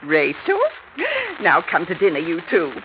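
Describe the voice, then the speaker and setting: A woman's voice: deep voice, measured pace. A woman playing a gracious, affectionate middle-aged upper-class lady in an old radio drama. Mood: fond, gracious. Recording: dull, noisy old radio recording.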